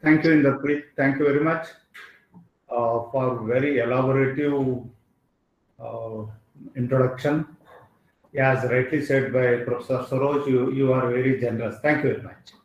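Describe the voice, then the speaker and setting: A man with an Indian English accent, whose first language is Kannada, giving a formal academic address as a guest speaker. He speaks haltingly and fills his pauses with "uh". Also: mid-range voice, steady tone, lo-fi sound. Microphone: online video call. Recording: roomy echo.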